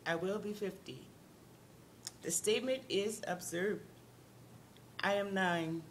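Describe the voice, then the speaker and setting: A woman calmly reading a reflective first-person memoir passage aloud. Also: medium pitch, slow, a little hiss or hum.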